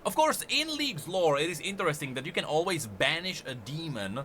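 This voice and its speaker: Bright, lively man explaining game lore. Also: fairly high pitch, a little fast, slight background noise. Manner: animated, emphatic, thinks aloud. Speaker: lore commentator.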